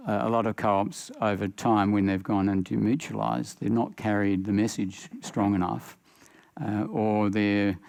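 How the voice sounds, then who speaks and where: Halting older Australian man, gravelly. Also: fairly low pitch, darkish tone, dry recording. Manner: halting, many uh fillers. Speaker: panelist. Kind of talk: panel discussion.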